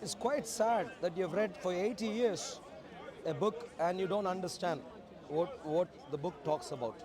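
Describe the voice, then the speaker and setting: A man's voice: high voice, unhurried. A man in his forties debating religion off the cuff in the street, insistent, repeating his questions and talking over his opponent. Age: 40s. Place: outdoors at a public park speakers' corner. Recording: outdoor street recording with crowd noise.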